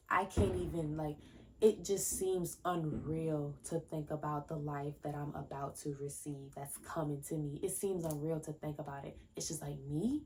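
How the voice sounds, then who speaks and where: A young woman in her twenties hosts her own podcast from home, talking in a loose, chatty ramble that drifts from one tangent to the next. Her voice sits low for a woman. Faint fireworks pop somewhere behind her. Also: mellow, a little hiss or hum. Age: 20s. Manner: rambling, jumps between tangents; loose, chatty, many fillers and restarts. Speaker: podcast host. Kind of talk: casual solo podcast talk. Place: at home, indoors. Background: faint fireworks popping.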